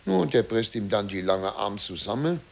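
An actor playing a middle-aged, self-important, flustered mayor, telling a story dramatically with hesitations in a 1950s radio play. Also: male voice, on the low side, big pitch swings, normal speed, gravelly, full character voice. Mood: agitated, relieved. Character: flustered, self-important small-town German mayor recounting a scandal.

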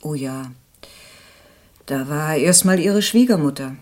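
A woman's voice: low voice, slow pace, darkish tone. An actress playing a gossipy, pitying female friend who confides her opinions in an audio drama. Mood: confiding, disapproving. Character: gossipy, pitying woman, loyal friend testifying.

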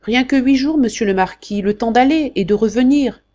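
A woman's voice: a little high, normal speed, dark tone, lo-fi sound, voice pushed up, close to an everyday voice. A woman reading an audiobook, voicing a devoted young man who speaks earnestly and firmly for his brother. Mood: pleading, firm. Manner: earnest, pleading yet firm.

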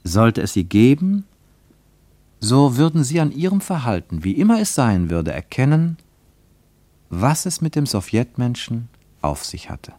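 A man acting as the grave, reflective narrator of a literary radio play, with deliberate dramatic phrasing. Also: medium pitch, sing-song, warm tone, no room sound. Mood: grave, suspenseful.

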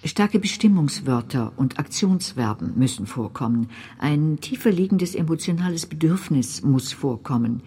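An actress playing a stern instructor who recites rules insistently, one after another, in a radio drama. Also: female voice, low voice, expressive, normal speed, few pauses, dark tone, breathy edge, heavily acted. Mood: stern, prescriptive. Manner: prescriptive, insistent, list-like.

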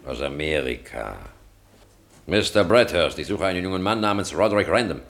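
Deep-voiced, grandly courtly gentleman. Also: expressive, moderate pace. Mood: imperious. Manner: grandiloquent, ceremonious, commanding. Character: wealthy, courtly, imperious older gentleman, searching for his son. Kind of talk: radio drama acting. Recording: hissy 1970s radio play recording.

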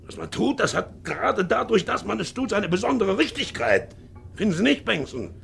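An actor playing a hard, brooding ageing ship's captain in a 1950s radio drama, shifting between muttering and barking. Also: male voice, high voice, big pitch swings, normal speed, creaky, a bit bright, exaggerated voice. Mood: bitter, self-justifying. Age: older. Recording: 1950s radio recording, faint music.

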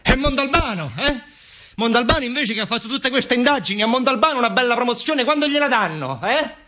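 A man's voice: very high-pitched, animated, brisk, sharp tone, voice pushed up, full character voice. A man acting out a sardonic, agitated ranter with a mocking, insistent manner in a theatrical monologue.

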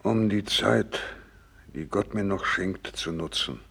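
An actor in a 1960s radio drama playing a gravely ill, devout doctor, husky and creaky, speaking slowly and solemnly with resigned fervour. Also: male voice, low voice, fairly bright tone, full character voice. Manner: slow, solemn, resigned, fervent. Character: gravely ill, devout, selfless male Japanese doctor nearing death.

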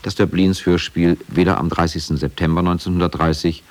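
A man with a low voice narrates a radio documentary. His delivery is scripted, sober and measured, setting out facts plainly. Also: steady tone, darkish tone.

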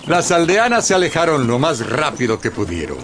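A man narrating a radio drama in a lively scripted storytelling style. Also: fairly high pitch, vocal fry, background noise.

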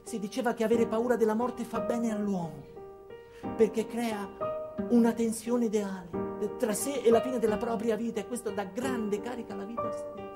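An actor playing an anxious, paranoid ordinary man, telling his story in a wry, deadpan theatrical monologue. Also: high-pitched, moderate pace, music in the background. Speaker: actor performing a comic monologue. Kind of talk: theatrical first-person comic storytelling.

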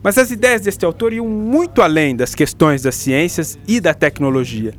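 An actor playing a patient, enthusiastic school teacher with a Brazilian accent, lecturing in a radio drama. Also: male voice, fairly high pitch, normal speed, soft background music, lightly acted. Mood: explaining. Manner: didactic, explanatory.

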